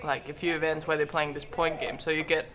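A woman's voice: low-pitched, level tone, a little fast. A young woman explaining things informally in a casual group chat. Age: young adult. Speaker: professional golfer, panel guest. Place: indoor lounge, seated around a table. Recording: faint background room noise.

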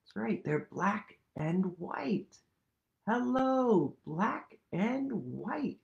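A man's voice: sits high, big pitch swings, drawn-out words, gravelly, lo-fi sound. A man in his thirties reading a picture book aloud to young children, playful and sing-song, dropping into whispers, at home on a sofa in front of a webcam. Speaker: children's storytime reader. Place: living room at home, seated on a sofa.

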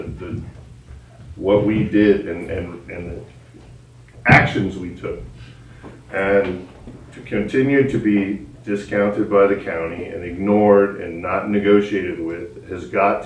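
A man making blunt, emphatic remarks as a council member at a public meeting. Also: fairly low pitch. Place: indoor council chamber. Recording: echoey room, distant mic.

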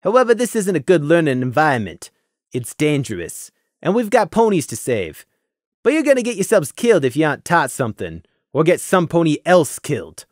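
A narrator voicing a stern, gruff male pegasus squad leader who talks low and commanding. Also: huge pitch swings, a little fast, no room sound, studio quality. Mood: scolding. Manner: commanding, low-voiced, scolding.

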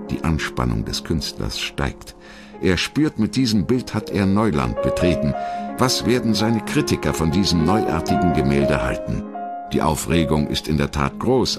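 A man reading a scripted biographical documentary narration in a measured, polished storytelling manner. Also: sits low.

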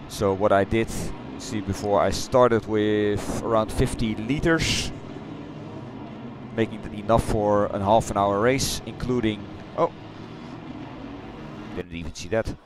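A middle-aged man with a Dutch accent, chatting loosely through a replay of his racing game run, halting and correcting himself as he goes. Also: lowish voice. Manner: halting, rambling, self-correcting. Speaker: sim racing streamer. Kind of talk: casual replay commentary. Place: home gaming room.

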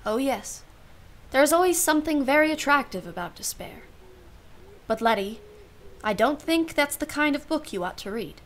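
A woman's voice: sits high, animated, normal speed, slight background noise. A woman playing a wry, world-weary aunt in a radio play, with a dry, arch manner and formal phrasing. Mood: dry, amused. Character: wry, world-weary aunt minding her young niece. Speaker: actress.

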